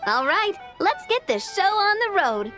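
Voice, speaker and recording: A woman's voice: very high voice, big pitch swings, unhurried, full character voice. A woman voicing an eager young female stallholder in a video game. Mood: eager, cheerful. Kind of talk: video game character voice acting. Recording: music behind the voice.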